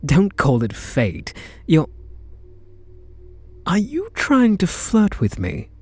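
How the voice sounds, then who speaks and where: Slow-talking British kidnapper, acted by a man. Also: fairly high pitch, huge pitch swings, husky, dry recording, exaggerated voice. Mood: wry, amused. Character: reluctant male kidnapper, gruff but secretly softening. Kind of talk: ASMR roleplay acting, intimate one-sided dialogue.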